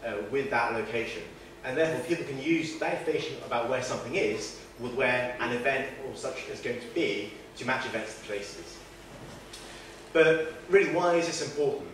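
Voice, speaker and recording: A man's voice: mid-range voice, a bit bright. Man, casual presenter, self-deprecating, lots of ums, distant mic, very echoey room, faint hiss. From not from northern England. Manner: chatty, self-deprecating, many fillers and restarts. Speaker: presenter at a meetup. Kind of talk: informal presentation. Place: event room with audience.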